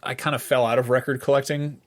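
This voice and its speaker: A man's voice: mid-range voice, level tone, quick pace, a little hiss or hum. Casual, profane man chatting. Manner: informal, profane. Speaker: podcast co-host. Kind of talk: casual chat, reminiscing about music.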